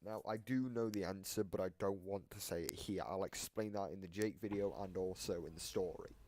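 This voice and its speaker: A man's voice: low-pitched, brisk, noisy background. A man giving casual explanatory commentary as host of a reaction video, often restating his point.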